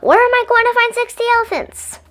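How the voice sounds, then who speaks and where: Woman putting on an excitable, high-pitched kid. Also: a little fast, soft background music. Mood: exasperated. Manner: excitable, exclaiming and questioning. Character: eager, naive child skeptic who doubts rocks. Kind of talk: children's picture book read-aloud character.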